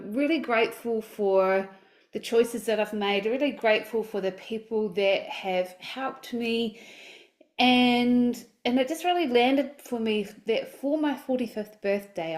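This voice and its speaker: A woman's voice: fairly high pitch, a little mellow. A woman in her forties, a life coach, talking casually and upbeat on a livestream, with lots of fillers and restarts. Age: 40s.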